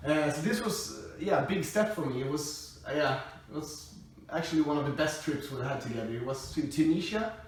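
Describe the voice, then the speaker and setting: A man's voice: fairly high pitch, lively intonation, a little fast, hardly any pauses. A young man who is not a native English speaker, telling stories casually to camera with frequent laughs and fillers. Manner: laughs often, hesitant with many fillers. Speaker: groom-to-be co-hosting a couple's video. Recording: echoey room from far off, faint hiss.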